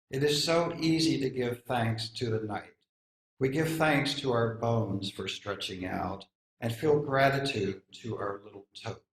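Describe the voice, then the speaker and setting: A man taking part in a service as a poetry reader, speaking to those gathered in an informal, literary way. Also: medium pitch. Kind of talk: informal introduction and poem reading. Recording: echoey room.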